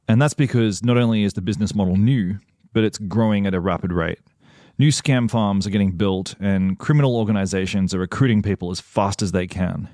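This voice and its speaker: A man with a fairly low voice narrates and interviews in a measured, earnest way. The sound is dry and close, with no room around it. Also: darkish tone, no room sound. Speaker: podcast host.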